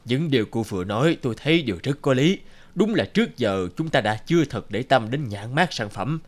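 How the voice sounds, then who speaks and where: A man's voice: mid-range voice, expressive, fairly quick. A man voicing an authoritative company director who speaks approvingly. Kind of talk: cartoon voice acting. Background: music.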